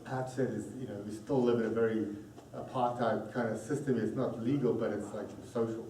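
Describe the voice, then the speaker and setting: A man from South Africa talking casually in a group discussion, halting with many fillers. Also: fairly low pitch, steady tone, warm tone. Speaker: panel speaker, parent. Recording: echoey room, distant mic, faint noise.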